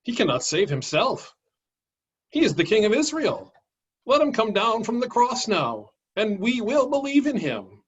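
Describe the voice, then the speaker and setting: A man's voice: sits high, sing-song, measured pace, husky, dull audio, pitched above the performer's own voice, exaggerated voice. A man voicing scornful, taunting mockers in a dramatic scripture reading, in a jeering, declamatory manner.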